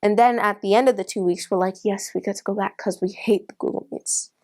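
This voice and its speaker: A woman shares personal memories in a casual interview. Her voice sits at an average pitch, and she talks in an informal storytelling way. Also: steady tone, a little fast, dark tone.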